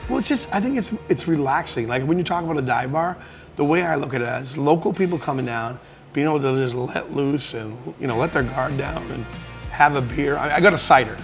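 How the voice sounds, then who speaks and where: A man with a Boston accent hosts a casual food vlog, talking in a loose, rambling and enthusiastic way. His voice sits a little high for a man, and soft music plays faintly behind him. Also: lively intonation, fairly quick. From Boston area. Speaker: travel show host. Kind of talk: casual travel vlog chat.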